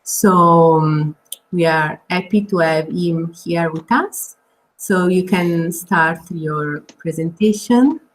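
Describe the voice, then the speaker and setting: A woman moderates an online webinar over a video call and introduces a guest speaker. She is polite and a little hesitant, and her voice sits low for a woman. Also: takes it slowly, low-quality recording.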